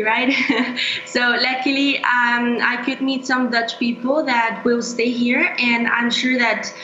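A woman's voice: sits high, sharp tone. A young woman, a student guest speaking over a webcam video call, chatty and laughing easily as she answers. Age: young adult. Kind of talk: casual interview answers. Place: indoor room at home. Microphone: laptop or webcam mic on video call. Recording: echoey lo-fi video call with hum.

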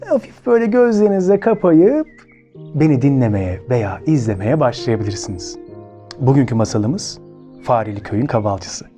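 Animated Turkish man telling children's stories. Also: medium pitch, normal speed, over music. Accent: Turkey Turkish. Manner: expressive, addresses listeners as friends. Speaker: children's storytelling show host. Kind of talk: children's storytelling with direct questions to listeners.

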